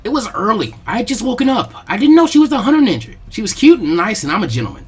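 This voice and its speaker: Man voicing a brash, excitable teenage ninja boy, animated. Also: high-pitched, fast, slightly rough, pitched above the performer's own voice, exaggerated voice. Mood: flustered, indignant. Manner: indignant, blustery.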